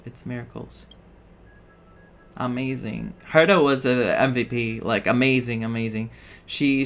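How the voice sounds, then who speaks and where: A man streaming a story game, reading dialogue aloud and adding his own expressive reactions. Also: mid-range voice. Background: game music.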